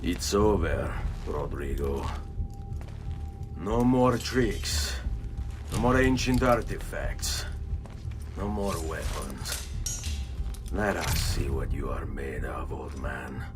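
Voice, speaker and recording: A man voicing a vengeful, weary young Italian nobleman turned assassin in a game cutscene, with an Italian accent and a solemn, measured delivery. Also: very deep voice, expressive, exaggerated voice. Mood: grim, taunting. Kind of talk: dramatic video game cutscene acting. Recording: loud music behind.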